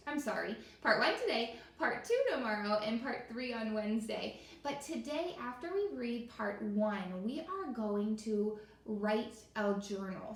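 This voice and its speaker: A woman teacher leading an interactive read-aloud for young children, encouraging them and putting questions straight to them. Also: fairly high pitch. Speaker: elementary school teacher. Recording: echoey room with faint noise.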